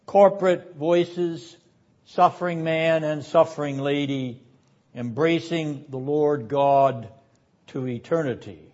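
A man delivering a prayerful, rhetorical sermon lecture in a solemn, incantatory manner, with repeated phrases and deliberate pauses. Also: a little high, darkish tone, lo-fi sound. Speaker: seminary dean and Bible teacher.

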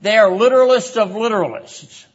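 A man giving a theology lecture as a seminary academic, speaking in a deliberate, emphatic manner with rhetorical repetition. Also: sits high, lively intonation. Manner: frequent throat-clearing. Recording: dull, muffled lo-fi lecture recording.

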